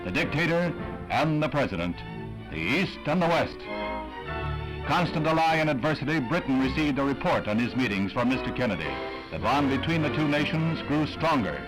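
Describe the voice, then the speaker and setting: A man's voice: medium pitch, very animated, normal speed, low-quality recording. An American man reading scripted 1960s newsreel narration in a dramatic, solemn, declamatory manner, on a vintage film soundtrack. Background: orchestral newsreel music.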